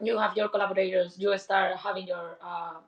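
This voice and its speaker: A woman's voice: medium pitch, steady tone, a little fast, reverberant, dull audio. Friendly woman hosting an interview. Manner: friendly, loose and giggly, thinks aloud with fillers. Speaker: interview host. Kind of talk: casual interview chat.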